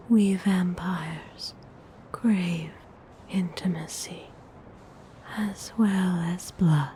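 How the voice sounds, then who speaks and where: A woman playing a gentle, seductive vampire noble in a whispered ASMR roleplay, breathy, soothing and intimate. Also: fairly low pitch, slight background noise. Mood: tender, intimate. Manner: slow, soothing, intimate, unhurried.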